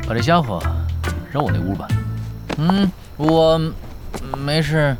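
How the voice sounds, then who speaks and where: Young radio drama dude, kinda aloof and stubborn, voice jumps around, music behind him. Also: male voice, a little high, big pitch swings, slow pace. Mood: dry, casual. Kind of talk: Chinese audio drama acting.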